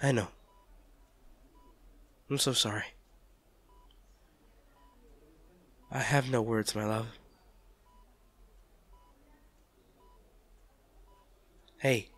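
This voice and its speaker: A man's voice: medium pitch, measured pace, long pauses, fairly bright tone. A man playing a devoted, protective partner in an intimate audio drama, tender and reassuring as he comforts an injured loved one. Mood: tender, hushed. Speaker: audio roleplay performer.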